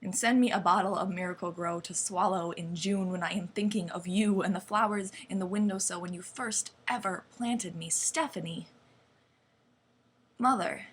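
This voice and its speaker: A young woman reciting slam poetry in a deliberate, dramatic way with weighted pauses. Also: on the low side. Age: young adult. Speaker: slam poet. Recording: clearly noisy amateur recording.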